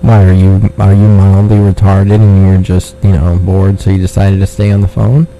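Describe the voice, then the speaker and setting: A man's voice: sits low, level tone, moderate pace, mellow. A man making a prank phone call, talking in a crude, taunting way and swearing, over a noisy phone line. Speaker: prank caller.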